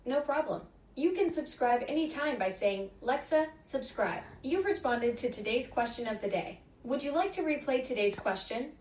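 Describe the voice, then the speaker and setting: A woman's voice: high voice, moderate pace, hardly any pauses. Synthetic woman assistant voice, echoey. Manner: polite, even, scripted phrasing. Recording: echoey room from a smart speaker.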